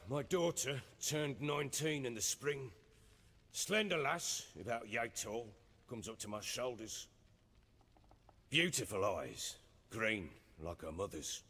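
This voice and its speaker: An actor playing a gruff, proud middle-aged warlord and father in a video game, speaking in folksy, archaic, blustering phrases. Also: medium pitch, bright, forceful tone, long reverb tail, full character voice. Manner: blustering, folksy archaic speech, swinging emphasis. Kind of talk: dramatic video game acting.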